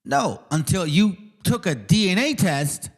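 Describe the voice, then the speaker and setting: A man's voice: sits high, big pitch swings, unhurried, slightly rough. A man giving informal reaction commentary in a scornful, mocking and profane manner. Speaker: commentator reacting to a played clip.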